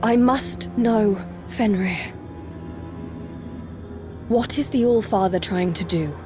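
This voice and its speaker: An actress playing an earnest, resolute heroine with a measured manner in a video game cutscene. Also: female voice, mid-range voice, natural voice. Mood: urgent. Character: earnest, resolute heroine seeking the truth from gods. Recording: music behind.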